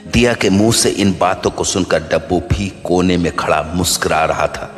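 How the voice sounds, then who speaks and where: A man's voice: low-pitched, moderate pace. A man narrating a scripted horror story as the storyteller. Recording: long reverb over background music.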